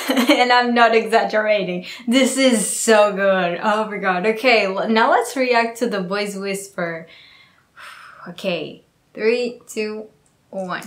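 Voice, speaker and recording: A young woman whose first language is Portuguese, giving gushing, exclamatory reaction commentary at home, laughing often. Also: medium pitch. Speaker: K-pop reaction vlogger. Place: small bright room at home, indoors. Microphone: earphone headset mic. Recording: roomy home echo with faint music behind.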